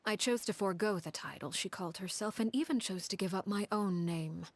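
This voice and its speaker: An actress voicing a composed, cold, commanding woman harbinger in a video game, telling a grim tale in a measured, solemn, hushed voice. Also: medium pitch, lightly acted. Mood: reflective, composed. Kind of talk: dramatic storytelling in a video game.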